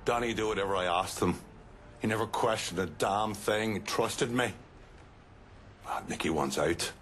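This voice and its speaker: An actor playing a profane, embittered middle-aged Irish mobster in a video game cutscene, blunt and coarse. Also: male voice, lowish voice, normal speed, fairly bright tone, slight background noise, lightly acted. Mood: bitter, remorseful. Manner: blunt, coarse, swearing freely. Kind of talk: dramatic game cutscene acting. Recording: music behind the voice.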